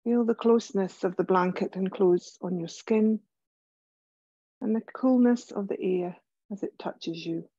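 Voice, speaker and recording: A woman leading a guided relaxation meditation as a yoga teacher, gentle and soothing, speaking in measured phrases with pauses. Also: mid-range voice, slightly rough, no echo, highs cut off.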